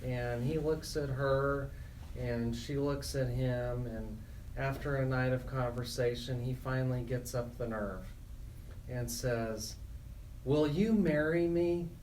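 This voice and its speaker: A man hosting a radio talk show, speaking deliberately with pauses. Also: mid-range voice, steady tone, a little mellow, far from the mic, slight background noise, low-quality recording. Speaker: radio host and interviewer. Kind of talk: joke telling and interviewing on a radio talk show.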